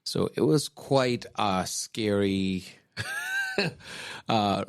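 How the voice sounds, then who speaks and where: A man, calmly telling his personal faith story on a podcast, unhurried and reflective. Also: fairly low pitch, no echo, studio quality. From Kenya. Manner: unhurried, reflective, with soft chuckles. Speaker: podcast guest husband, pastor.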